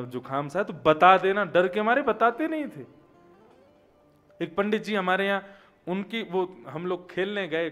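A man's voice: on the high side, fairly bright tone. A man, giving a conversational devotional discourse full of rhetorical questions and anecdotes. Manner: conversational, asking rhetorical questions and answering them. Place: stage of a religious event, seated at a lectern. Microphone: gooseneck podium microphones.

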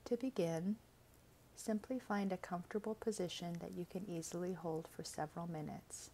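A woman reading a scripted guided meditation in a gentle, soothing, measured way, with soft, breathy phrase endings. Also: lowish voice, steady tone, a little hiss or hum.

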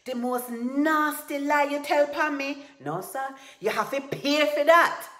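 A woman voicing a furious, blustering male tiger from a folktale, shouting indignantly in Caribbean Creole. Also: high-pitched, a little slow, faint music behind, pitched above the performer's own voice. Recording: roomy, echoing recording.

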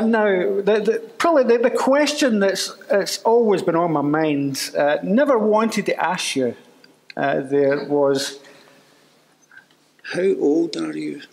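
Man, sixties, high lively voice, jovial church interviewer taking his time, noisy live stage sound. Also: unhurried. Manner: jovial, teasing.

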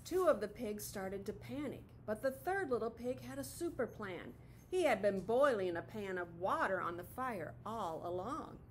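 A woman's voice: medium pitch, expressive, normal speed, a little hiss or hum. A middle-aged woman teacher reading a picture book aloud expressively to children, recorded in a classroom. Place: classroom, indoors.

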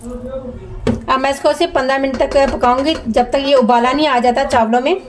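High-pitched woman narrating a recipe. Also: steady tone, moderate pace, a crowd in the background. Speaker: home cooking show host. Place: home kitchen at the stove. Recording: noisy kitchen with sizzling pan. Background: frying, sizzling, stirring.

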